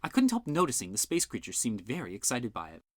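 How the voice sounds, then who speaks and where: A man voicing a prim, precise woman scientist who speaks in a measured, formal way. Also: mid-range voice, wildly swooping pitch, dry recording, studio quality.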